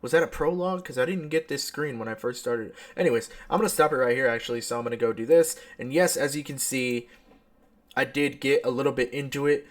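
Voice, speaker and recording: A man's voice: medium pitch, fast. A young man doing casual playthrough commentary, reading aloud and reacting playfully, sometimes tripping over his words. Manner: playful, self-mocking, stumbles and stutters over words. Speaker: gaming video host. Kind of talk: casual playthrough commentary with read-aloud visual novel text. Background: music.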